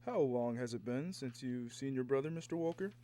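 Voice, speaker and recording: An actor playing a businesslike government agent, questioning formally in a 1950s radio drama style. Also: male voice, medium pitch, fairly quick, dark tone, close to an everyday voice. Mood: businesslike, probing.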